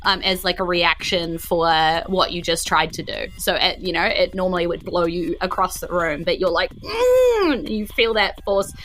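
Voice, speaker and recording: A woman narrating a tabletop game as game master, explaining haltingly and acting out small effects. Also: fairly low pitch, big pitch swings, fairly bright tone, a little hiss or hum.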